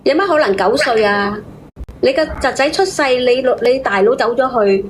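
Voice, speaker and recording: Woman, Hong Kong Cantonese, slightly high voice, emphatic lecturing, faint line noise. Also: expressive, normal speed. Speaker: call-in participant giving advice. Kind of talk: unscripted call-in argument.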